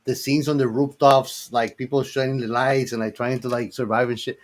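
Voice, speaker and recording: A man with a medium-pitched voice co-hosts a film podcast. He talks in a loose, informal chat style, full of slang and casual swearing. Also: little pitch movement, brisk. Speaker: podcast co-host.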